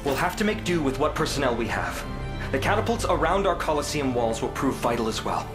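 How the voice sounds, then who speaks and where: Man acting a regal fantasy prince over loud music. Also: mid-range voice, big pitch swings, close to an everyday voice. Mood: grave, determined. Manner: regal, formal, deliberate wording. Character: proud, dutiful uncrowned prince rallying his kingdom. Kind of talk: dramatic fantasy game voice acting. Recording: loud orchestral music behind.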